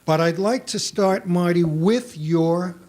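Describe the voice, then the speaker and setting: A man's voice: high voice, slow, darkish tone. A man moderating a panel with courteous, formal introductions, recorded live at a convention.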